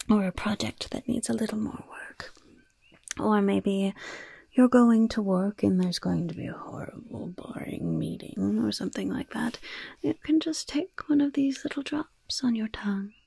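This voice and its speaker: Mischievous goblin shopkeeper acted by a woman, breathy whisper, very dry close sound, coy sales patter, ASMR roleplay. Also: medium pitch, dry recording, exaggerated voice. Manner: unhurried, coy, chatty sales patter with chuckles. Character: mischievous female goblin shopkeeper selling poisons and curses.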